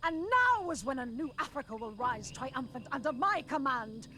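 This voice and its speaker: Fierce, vengeful warrior, dramatically animated. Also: female voice, high-pitched, normal speed, slight background noise. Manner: fierce, grandiose declaiming. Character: vengeful proud female African warrior. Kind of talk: animated action series dialogue.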